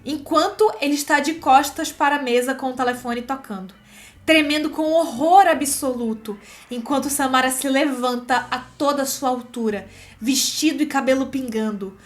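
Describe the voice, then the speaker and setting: High-voiced Brazilian woman teaching screenwriting. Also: moderate pace. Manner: expressive and chatty, frequent check-in tags. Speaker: screenwriting teacher and video host. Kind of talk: casual explanatory commentary with script read-aloud.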